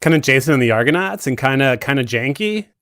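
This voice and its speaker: A man's voice: mid-range voice, expressive, fast talker, fairly bright tone, no room sound. Man in casual, dry-miked chat. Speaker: podcast guest. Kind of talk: casual film discussion.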